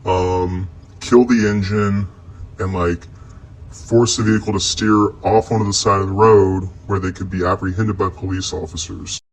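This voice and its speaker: A man's voice: low voice, poor audio. A man from the United States explaining examples in a casual, loosely structured way.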